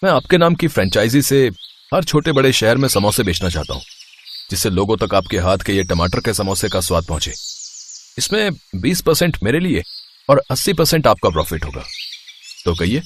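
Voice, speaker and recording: A man's voice: on the low side, lively intonation, fairly quick, lightly acted. Man playing a courteous businesslike chef, noisy track. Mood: impressed, businesslike. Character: appreciative, businesslike male chef. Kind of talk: animated moral story cartoon voice acting.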